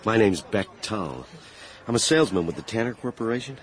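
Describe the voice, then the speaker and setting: An actor playing a flamboyant, ambitious travelling salesman in a period radio drama, self-assured and flattering. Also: fairly low pitch, brisk, a little hiss or hum, lightly acted. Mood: charming, courting. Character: Beck Tull, flamboyant, ambitious travelling salesman, smooth-talking suitor.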